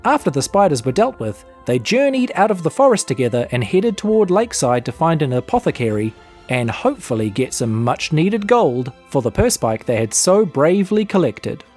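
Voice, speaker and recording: Animated, higher-pitched New male storyteller. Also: moderate pace, faint music behind. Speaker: recap narrator. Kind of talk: scripted storytelling narration.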